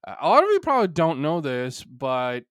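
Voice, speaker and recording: A man's voice: on the high side, wildly swooping pitch, fairly quick, a bit bright, no echo, clean studio sound. A man born in Bulgaria, a native Bulgarian speaker, hosting a solo podcast in an earnest, rambling way full of "you know" fillers. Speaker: podcast host.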